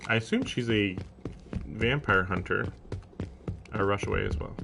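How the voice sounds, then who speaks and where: A man's voice: on the low side, normal speed, creaky, noisy background. A man live streaming a visual novel, reading its text aloud and breaking off for casual, wry, incredulous commentary. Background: game music with strings.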